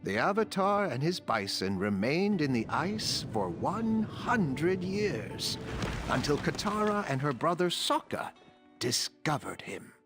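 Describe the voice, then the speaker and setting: Wise older male narrator, slow, expressive intonation, solemn, courteous, video game storytelling over music. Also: a little high, full character voice. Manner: measured, solemn storytelling with deliberate emphasis.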